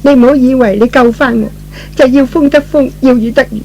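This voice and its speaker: A man's voice: extremely high pitch, fairly even intonation, brisk, darkish tone, a little hiss or hum, pitched above the performer's own voice, rough recording. A man voicing a proud young woman in love, with a haughty, cutting manner, in old-fashioned radio drama style. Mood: scornful.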